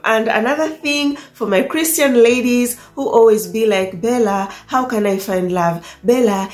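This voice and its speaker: A woman in her thirties hosting a vlog, chatty and laughing often as she talks straight to viewers. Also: fairly high pitch. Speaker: channel host. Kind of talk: friendly vlog chat. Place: indoors, small living room. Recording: echoey room with soft background music.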